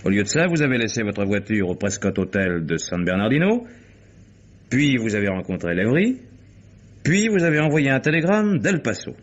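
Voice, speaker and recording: An actor playing an insistent, coolly sardonic private detective in a vintage radio crime drama. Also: male voice, medium pitch, animated, normal speed, lightly acted. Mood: methodical. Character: dogged hired private detective, probing and insistent. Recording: dull lo-fi vintage radio recording.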